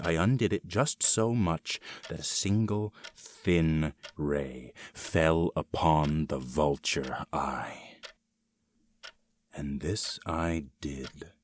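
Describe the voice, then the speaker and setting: Deep-voiced, theatrical madman. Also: slightly rough, dry recording, clean studio sound, full character voice. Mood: sly, hushed. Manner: theatrical, drawn-out emphasis, swinging from hushed to fervent. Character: mad, nervous murderer insisting on his sanity, gleefully cunning. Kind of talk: dramatic gothic horror story reading.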